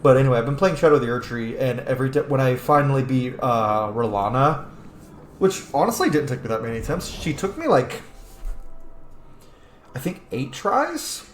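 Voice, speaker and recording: A man's voice: medium pitch, sing-song, normal speed, roomy sound, slight background noise. A man giving casual, chatty, rambling commentary as a video game streamer. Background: game music.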